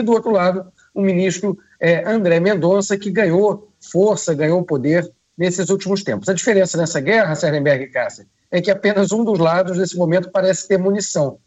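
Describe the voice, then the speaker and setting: Male radio commentator, hoarse with a cold, hesitant and measured, slightly higher voice, dull phone-in sound. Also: darkish tone. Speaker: political commentator.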